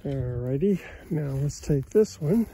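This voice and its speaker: A man's voice: on the high side, expressive, measured pace, dark tone, breathy edge. An American man, a cattle farmer with a folksy, plain-spoken way, casually narrating his chores outdoors into a handheld phone. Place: outdoors in an open pasture. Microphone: handheld phone held by the speaker behind the camera.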